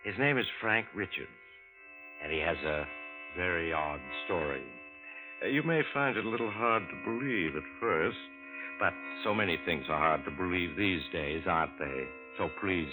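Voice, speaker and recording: Casting: male actor as deep-voiced, ominous American mystery host, measured and slyly genial, old-time radio narration with music loud behind. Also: close to an everyday voice, low-quality recording. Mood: confiding, teasing. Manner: ominous, measured, teasing, intimate address to listener. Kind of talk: 1940s radio suspense narration. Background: loud background music.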